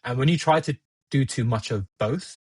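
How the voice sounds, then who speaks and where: A young man whose first language is Gujarati, talking through his thoughts in a relaxed podcast chat and often laughing at himself. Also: medium pitch, moderate pace, slightly rough, no echo. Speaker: podcast guest. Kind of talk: casual conversation.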